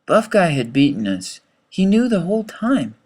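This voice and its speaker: A man reading a rhyming children's story as its author, with dramatic, expressive delivery. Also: high-pitched, normal speed.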